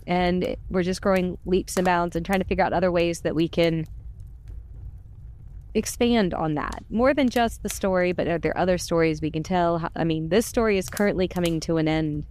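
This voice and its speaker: A woman's voice: fairly low pitch, a little mellow, a little hiss or hum. A woman chatting casually and informally about a podcast project, in a gushing, talkative way. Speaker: podcast cast member and volunteer. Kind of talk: casual chat.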